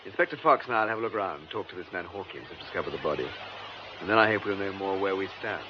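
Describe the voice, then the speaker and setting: A male actor playing a calm, courteous, authoritative police superintendent in a radio drama, brisk and patient. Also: low-pitched. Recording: dull radio recording, faint noise.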